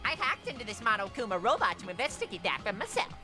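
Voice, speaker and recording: A woman's voice: medium pitch, big pitch swings, fast, close to an everyday voice. A woman voicing a gleefully menacing robot bear mascot with a theatrical, taunting delivery in an anime fan dub. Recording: music behind the voice.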